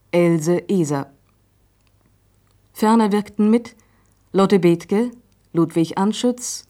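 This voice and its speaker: A woman reading a formal scripted cast announcement as a radio announcer, measured and neutral. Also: mid-range voice, a little mellow.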